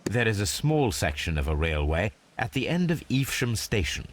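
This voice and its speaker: An actor playing a brilliant, aloof gentleman detective in a video game, with a British accent and a precise, measured, analytical delivery. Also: lowish voice, sing-song, noisy background. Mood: observing. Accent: British English. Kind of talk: video game character acting.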